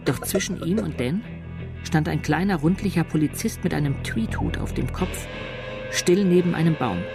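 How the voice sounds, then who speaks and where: Hörspielerzähler, Mann, über lauter Musik. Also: male voice, fairly high pitch, moderate pace, gravelly. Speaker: radio drama narrator. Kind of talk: dramatic scripted third-person narration. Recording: loud plucked guitar music behind.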